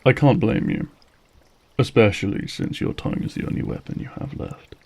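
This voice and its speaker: A man's voice: lowish voice, vocal fry, darkish tone, slightly rough. A man playing a centuries-old vampire in an audio drama, weary, bitter and politely menacing, with a brooding, formal way of speaking. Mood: cold menace. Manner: slow, brooding, formal speech.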